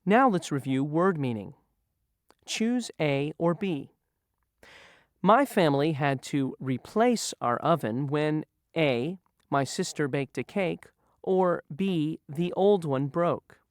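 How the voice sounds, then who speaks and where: Lively voice reading review questions. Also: mid-range voice, takes it slowly, no echo, studio quality. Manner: poses a choice, then confirms the right answer. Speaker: quiz reader. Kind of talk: lesson quiz narration.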